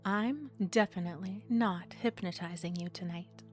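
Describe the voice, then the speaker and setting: A woman speaking slowly and soothingly in a gently coaxing, playfully teasing ASMR guided relaxation. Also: lowish voice, faint music behind. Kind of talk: ASMR guided relaxation, hypnosis-style script.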